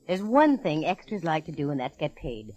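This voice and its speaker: A woman's voice: deep voice, very animated, moderate pace, dark tone, muffled recording. An actress playing a wry, self-assured female private investigator with dry wisecracks, in old radio detective style. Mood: cool, businesslike. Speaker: lead actress and narrator. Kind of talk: 1940s-50s radio detective acting, wry narration.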